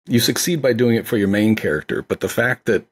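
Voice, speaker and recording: A man's voice: fairly low pitch, expressive, fast, husky. A man co-hosting a casual film discussion, talking in an enthusiastic, appreciative way. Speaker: podcast co-host discussing films.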